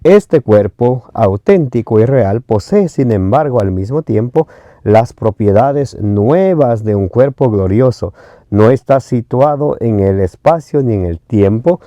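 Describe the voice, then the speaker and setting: Mellow-voiced Ecuadorian man preaching. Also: mid-range voice, expressive, no echo. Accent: Ecuadorian Spanish. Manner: reads scripture references slowly, often hesitates with fillers.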